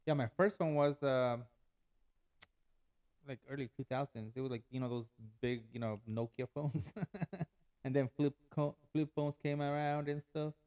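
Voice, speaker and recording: A man's voice: medium pitch, moderate pace, smooth. Young male podcast host, easygoing. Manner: relaxed, encouraging, frequent "yeah" and "like". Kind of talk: casual interview conversation.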